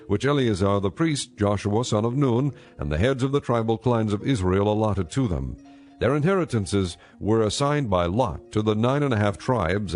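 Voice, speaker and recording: A man reading scripted audio Bible narration in a measured, solemn manner. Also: lowish voice, a little mellow. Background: music.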